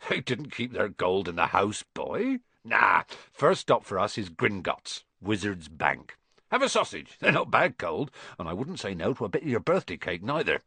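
Brief: good-natured giant, gruff and homely, swinging tone, a man reading him. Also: lowish voice, sing-song, normal speed, pushed and bright, no echo, full character voice.

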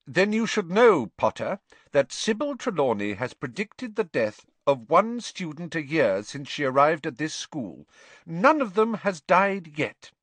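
Man as older woman teacher, stern, raised pitch, clipped, exasperated, animated, storybook. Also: a little slow, no room sound, exaggerated voice. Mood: brisk, exasperated.

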